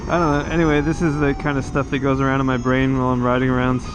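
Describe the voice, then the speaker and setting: A man's voice: medium pitch, level tone, rapid-fire. Easygoing American man vlogging from his motorcycle. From United States. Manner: easygoing, musing, chatty with fillers. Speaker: motovlogger host. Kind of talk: casual vlog chat while riding. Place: outdoors on an electric motorcycle. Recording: clear outdoor and road noise.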